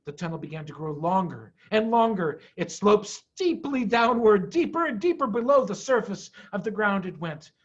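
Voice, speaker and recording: A man reading a children's story aloud with dramatic, expressive pauses and emphasis. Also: sits high, breathy edge, muffled recording. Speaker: storyteller, head of school.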